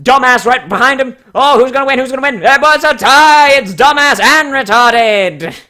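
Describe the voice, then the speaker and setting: Casting: a man acting a breathless horse race caller, high, loud and forceful, parody track commentary. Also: normal speed. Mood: frantic excitement. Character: excitable horse race announcer, booming and breathless.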